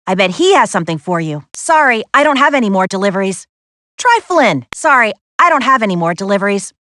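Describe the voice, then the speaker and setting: A woman voicing a confident, spirited young adventurer in a video game, briskly giving missions with an earnest, lightly wry manner. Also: medium pitch, sing-song, a bit bright, no room sound. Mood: friendly, instructive. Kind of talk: video game character voice acting.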